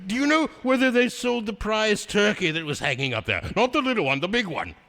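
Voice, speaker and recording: An actor playing a joyful, giddy old reformed miser with exuberant, effusive delivery in a theatrical radio drama. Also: male voice, high-pitched, sing-song, fairly quick, gravelly, sharp tone, exaggerated voice. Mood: excited, delighted. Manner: exuberant, effusive, laughing, exclaiming. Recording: reverberant hall sound.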